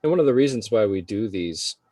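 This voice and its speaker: Informal man with a lowish voice. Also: animated, brisk, warm tone, no echo, clean studio sound. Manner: informal, hedging with frequent "like". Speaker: early childhood program facilitator. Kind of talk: casual explanation to parents.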